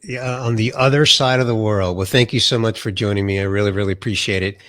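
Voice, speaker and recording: A man from the USA hosting a podcast interview, a little congested and nasal, giving warm, emphatic introductions. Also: fairly low pitch, fast talker, dry recording, clean studio sound. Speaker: podcast host. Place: Florida.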